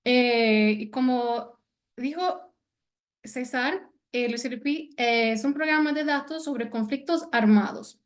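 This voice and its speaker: A woman's voice: on the high side, takes it slowly. A woman whose first language is not Spanish gives a lecture over a video call as a guest speaker, hesitant and full of fillers as she searches for words. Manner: hesitant, many fillers, careful non-native Spanish. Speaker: guest speaker, senior analyst. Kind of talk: online lecture presentation.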